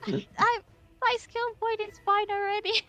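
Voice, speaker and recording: A woman with a high voice chats casually as a tabletop game player. Her delivery is slow and unhurried, with faint music playing underneath. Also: level tone, a bit bright.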